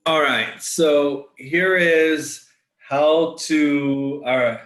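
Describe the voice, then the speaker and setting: A man's voice: on the high side, fairly even intonation, extremely slow, fairly bright tone. A man hosting an event, chatting casually while setting up and checking the audience can hear. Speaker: event host. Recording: echoey room.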